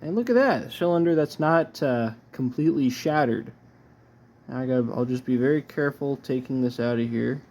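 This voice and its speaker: A man giving casual, low-key unboxing commentary as a collector, halting often as he thinks aloud. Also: a little mellow. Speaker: record collector presenting his haul.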